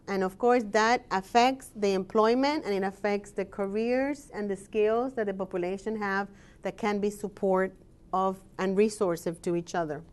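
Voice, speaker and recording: Woman academic presenting her research; steady, explanatory delivery, pauses on "um.". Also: mid-range voice, clear, resonant tone. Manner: measured and explanatory, with frequent "um" pauses. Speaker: scholar and researcher giving a presentation. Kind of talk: presentation talk with slides.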